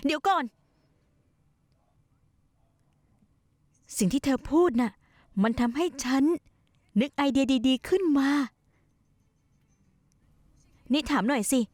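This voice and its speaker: A pushy, scheming young wife. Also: female voice, sits high, expressive, a little fast, a little mellow, no echo. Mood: coaxing. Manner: coaxing, pushy, manipulative. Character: scheming young wife plotting to run away. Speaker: scheming wife in a dubbed drama. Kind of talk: dubbed television drama acting.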